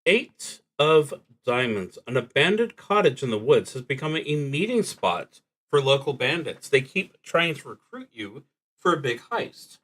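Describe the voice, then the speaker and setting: Slow, halting man narrating solo roleplay. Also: mid-range voice, very animated, fairly bright tone, poor audio. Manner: hesitant, halting, trailing off mid-thought. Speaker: solo tabletop game player and streamer. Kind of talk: improvised live commentary on a solo roleplaying game, thinking aloud.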